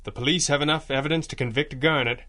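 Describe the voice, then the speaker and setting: Bright, confident masked hero. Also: male voice, a little high, steady tone, fast. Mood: measured, explanatory. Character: masked vigilante hero, confident, wry, protective boss. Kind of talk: 1930s-style radio drama acting.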